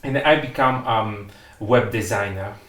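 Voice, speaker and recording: A man in his thirties from Italy with an Italian accent, speaking English as a second language in a halting, simple way as an interview guest. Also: fairly low pitch, fairly bright tone, roomy sound. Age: 30s. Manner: halting, simple phrasing with restarts. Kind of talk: casual interview talk in non-native English.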